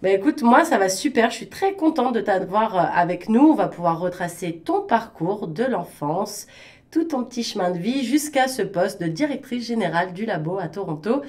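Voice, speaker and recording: A woman's voice: mid-range voice, expressive, normal speed, a little mellow. A woman interviewing a guest on radio in a casual, chatty and informal way.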